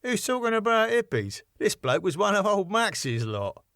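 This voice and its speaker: A man's voice: high voice, very animated, normal speed, a bit bright, no echo, clean studio sound, pitched above the performer's own voice, heavily acted. A man voicing a grumbling, disapproving bouncer who talks in scornful, colloquial phrases.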